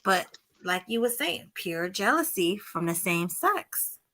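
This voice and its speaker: Chatty woman host with lively intonation. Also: medium pitch. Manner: chatty and sympathetic, quick to react. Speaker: podcast co-host. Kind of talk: casual chat.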